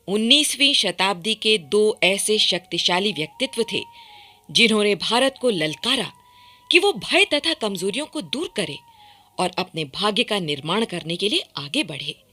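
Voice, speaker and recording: A woman delivering formal, emphatic scripted narration for a historical radio documentary, in measured phrases. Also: mid-range voice, sharp tone.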